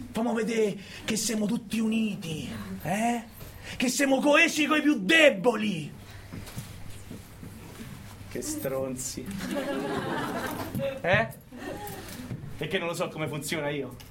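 A man's voice: sits high, expressive, slow pace, long pauses, a crowd in the background. A man playing a cynical, bullying prison guard in a radio monologue, with a Roman accent and a sardonic, goading manner full of rhetorical questions. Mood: mocking. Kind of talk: theatrical radio monologue in colloquial dialect.

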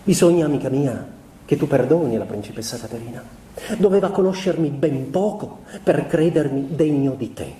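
Casting: male actor as wistful young musician in a confessional theatre monologue, mellow and expressive, reflective pauses, recorded at a distance in a resonant room. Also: fairly high pitch, roomy sound, a little hiss or hum, natural voice. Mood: tender regret. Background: piano music.

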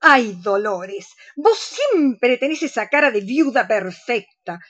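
A woman's voice: fairly high pitch, sing-song, takes it slowly, fairly bright tone, full character voice. A woman playing a blunt, bossy, disillusioned older woman with an Argentine accent, frank and dramatic in a radio theatre comedy. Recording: slightly dull, lo-fi sound.

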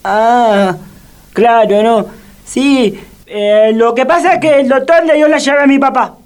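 Radio comedy role: a high-voiced, halting Argentine kid, hungry and sly, always making excuses. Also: male voice, a little mellow, clean tone. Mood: sheepish, evasive. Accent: Argentine Rioplatense. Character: hungry, sly, hesitant young neighbour boy. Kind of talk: comedic radio theatre acting.

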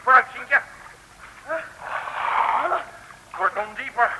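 An actor playing a boastful, excitable comic detective in a 1960s radio serial, given to stammering repetitions. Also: male voice, extremely high pitch, a little fast. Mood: flustered relief. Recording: noisy old radio recording.

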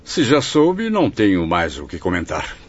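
A man's voice: low-pitched, wildly swooping pitch, moderate pace, exaggerated voice. A Brazilian man acting in a radio drama as an educated, troubled patient, speaking earnestly and formally. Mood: guarded. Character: anxious, educated male patient, a suffering spirit writer. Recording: muffled, faintly noisy radio drama recording.

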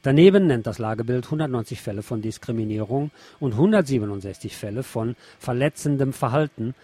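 A man's voice: medium pitch, fairly quick, mellow. A man reading scripted news and press reports as a radio presenter.

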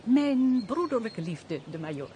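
Noblewoman, highly expressive pitch, old-fashioned formal diction, radio play, slightly noisy recording. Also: measured pace. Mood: playful, probing. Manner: formal, archaic, expressive speech. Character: lively, teasing, compassionate noblewoman, a countess. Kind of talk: theatrical Dutch radio play acting.

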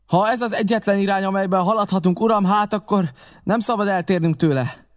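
A man's voice: high-pitched, pitched above the performer's own voice, lightly acted. A man voicing a courteous, resolute male sea captain in an audiobook, giving clipped, urgent orders. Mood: urgent, firm.

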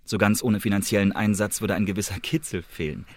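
Husky, low-voiced wary secret agent played by a man. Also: steady tone, fairly quick, darkish tone, natural voice. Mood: suspicious, uneasy. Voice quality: husky, breathy. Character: Marlowe, wary male secret agent, confiding and brooding storyteller. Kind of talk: German radio drama monologue, intimate storytelling.

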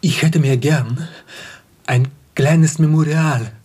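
An actor playing a reverent, deferential male admirer in a radio play, speaking formally with a husky voice. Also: fairly high pitch, takes it slowly, darkish tone. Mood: reverent, pleading. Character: reverent, enraptured male visitor begging a keepsake. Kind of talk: radio drama acting.

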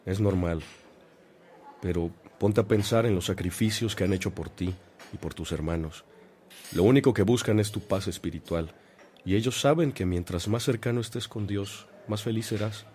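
Actor as a low-voiced fatherly priest. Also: normal speed, darkish tone, slight background noise, lightly acted. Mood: reassuring. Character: fatherly male priest, patient, reassuring mentor. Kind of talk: radio drama acting.

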